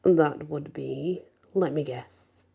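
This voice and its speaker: A woman plays a huge, fierce werewolf alpha in a fantasy story. He growls slowly in a dark, low, husky voice. He is protective and full of menace. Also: heavily acted. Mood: angry.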